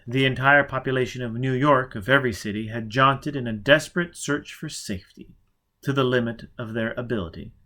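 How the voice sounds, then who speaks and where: A man reading a novel aloud in a mid-range voice. His narration is steady but expressive, leaning into dramatic passages. Now and then he slips on a word and goes back to correct it. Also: mid-range voice. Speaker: audiobook narrator. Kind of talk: amateur audiobook reading of a novel.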